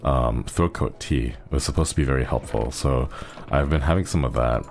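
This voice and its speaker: A man chatting casually on a live stream, his voice airy and breathy today, rambling with frequent hesitations. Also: a bass voice, moderate pace, darkish tone, soft background music. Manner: rambling, hesitant with many fillers.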